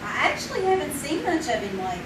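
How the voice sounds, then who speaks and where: A woman's voice: high voice, wildly swooping pitch, fast talker. A woman playing a dreamy, giggly young woman in a live old-time radio drama. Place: small theater stage, live. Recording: echoey stage from far off, noisy.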